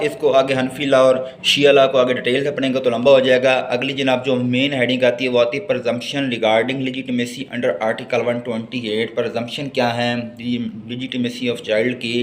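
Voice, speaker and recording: A man with a Pakistani accent giving a didactic law lecture, reading out and explaining the material. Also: medium pitch. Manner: didactic, switching between slowly read English and explanation in Urdu. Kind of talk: lecture, reading legal text aloud and explaining it. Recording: echoey room with faint background noise.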